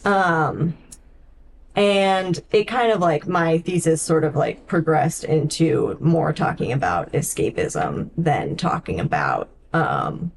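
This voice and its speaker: Woman podcaster talking books, lowish voice, thinks out loud w lots of likes, bit of background noise. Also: expressive, gravelly. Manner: thoughtful, halting phrasing with frequent "like". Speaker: podcast co-host. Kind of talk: casual literary discussion.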